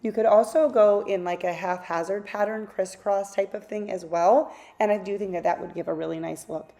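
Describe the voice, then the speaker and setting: Slightly raspy woman, chatty craft tutorial. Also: medium pitch, fairly even intonation, moderate pace, warm tone. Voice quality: slightly raspy, losing her voice. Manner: chatty, rambling, self-correcting asides. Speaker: craft channel host. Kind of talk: casual craft tutorial voice-over.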